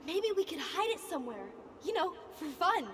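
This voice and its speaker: A woman's voice: very high voice, very animated, normal speed, a bit bright, exaggerated voice. A woman with an American accent playing a brash, mischievous ten-year-old child in a video game flashback. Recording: echoey reverb flashback with faint ambience noise.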